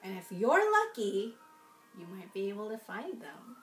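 A young adult woman reading aloud at a children's storytime, expressive and sing-song, with little asides to young listeners. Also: medium pitch, normal speed, faint music behind. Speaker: children's storytime reader in princess costume. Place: small room at home, indoors.

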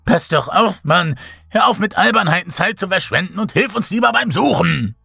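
A man's voice: sits high, expressive, normal speed, vocal fry, sharp tone, husky, dry recording, studio quality, soft background music, pitched above the performer's own voice, exaggerated voice. A man voicing an impatient, irritated expedition comrade in a horror audiobook, snapping sharply.

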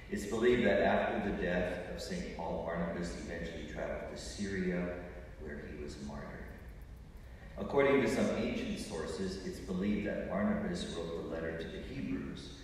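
An older male priest gives a homily in a deep, measured voice. The sound rings through a large church with long reverb, and clear background noise sits around his words. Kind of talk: homily, measured prepared delivery. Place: large church interior, at an ambo. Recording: echoey church from far off, noisy.

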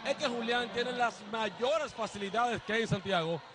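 A Dominican man in his thirties with a very high voice, talking loud and energetic as a street TV reporter. He holds a handheld mic on an outdoor court, and constant noise hums behind him. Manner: loud, energetic, drawn-out emphasis. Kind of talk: live on-location TV interview. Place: outdoor neighborhood basketball court. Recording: noisy crowd outdoors.

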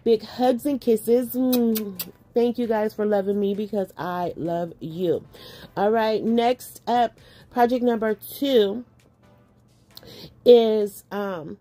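A woman hosting a home craft channel, talking casually over her work in a chatty, affectionate way, her voice a little raspy as she gets over an illness. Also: medium pitch, fairly even intonation, slow pace, mellow. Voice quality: slightly raspy. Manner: chatty, affectionate, laughs often. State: recovering from illness, dry cough. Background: faint background music.

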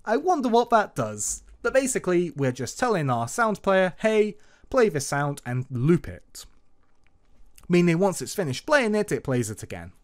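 Animated man narrating a coding tutorial. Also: high-pitched, normal speed. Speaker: programming tutorial host. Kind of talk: informal screen-recorded tutorial narration. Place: voice-over for screen recording.

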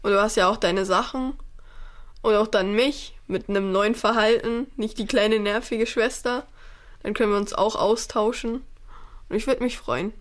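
A woman with a mid-pitched voice speaks as a family member. She makes a personal appeal to a missing relative. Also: steady tone, normal speed.

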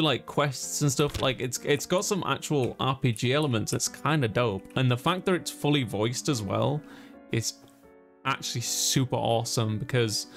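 A man giving casual, jokey, rambling commentary as he plays a video game for an audience. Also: mid-range voice. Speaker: let's play streamer. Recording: music behind the voice. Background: game music.

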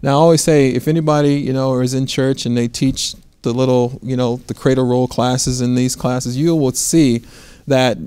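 A man's voice: medium pitch, steady tone, normal speed, mellow, smooth, dry recording. A middle-aged American man telling his story as an interview guest, earnest, often rephrasing as he goes. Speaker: interview guest, restaurant owner. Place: quiet indoor studio set with armchairs. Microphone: lapel mic.

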